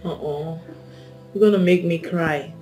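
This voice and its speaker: A woman's voice: fairly low pitch, measured pace, a little mellow, soft background music, natural voice. A woman playing a solemn, grateful young bride. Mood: tender. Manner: earnest, solemn, partly whispered. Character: young bride-to-be, grateful and tender toward a friend.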